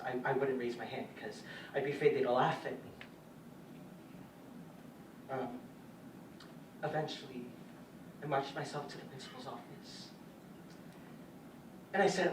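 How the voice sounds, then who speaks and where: Distant, halting young male dancer played by a man. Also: fairly high pitch. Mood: hurt, impassioned. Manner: halting, hesitant, nervous stammers and restarts. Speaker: stage actor in a school or youth theatre production. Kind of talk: confessional theatrical monologue. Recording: roomy stage, distant mic, faint noise.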